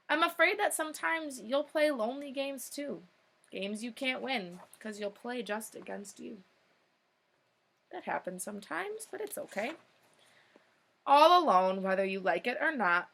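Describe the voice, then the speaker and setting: A young woman reads a children's picture book aloud in a teacher's expressive delivery. Her voice sits a little high and has a very bright, clear-cut edge. She drops in short, informal asides between the lines of verse.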